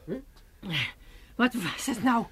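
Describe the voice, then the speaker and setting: High-voiced caring Amsterdam wife. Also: female voice, expressive, slow. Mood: consoling. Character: caring, practical working-class wife, consoling and obliging. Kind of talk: 1980s comic radio play acting.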